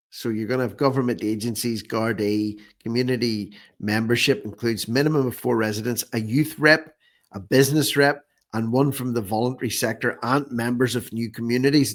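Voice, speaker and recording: A man's voice: medium pitch, steady tone, normal speed, fairly bright tone. An Irish man hosting informal political commentary in a sarcastic, scornful manner, often repeating phrases for emphasis. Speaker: online commentator, host.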